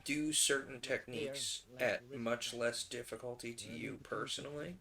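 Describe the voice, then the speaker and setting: A man's voice: mid-range voice, steady tone, measured pace, creaky, crowd noise. Casual man thinking aloud over old radio. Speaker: livestream host. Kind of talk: casual crafting livestream chat. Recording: old radio show playing behind the voice. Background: old-time radio drama playing.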